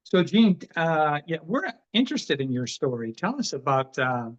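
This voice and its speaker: Halting Canadian-born male podcast host. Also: a little high. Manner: relaxed, halting, many fillers. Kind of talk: casual interview. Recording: dull, muffled sound.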